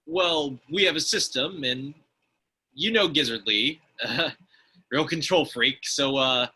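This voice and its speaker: A man voicing a nervous, polite young delivery guy with a husky, hesitant voice in an amateur table read. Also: on the high side, takes it slowly, bright, forceful tone, heavily acted. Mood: nervous politeness. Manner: hesitant, placating.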